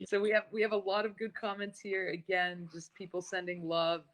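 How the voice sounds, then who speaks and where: A woman's voice: mid-range voice, flat delivery, measured pace, a bit bright, a little hiss or hum. A woman interviewing in a casual live-stream chat, enthusiastic and laughing often.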